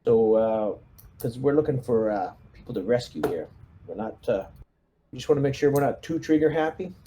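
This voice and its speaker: A man playing a space marine squad commander in a tabletop roleplaying game, giving orders in a measured, hesitant, businesslike way. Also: mid-range voice, lively intonation, noisy background, close to an everyday voice. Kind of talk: in-character tabletop roleplay briefing.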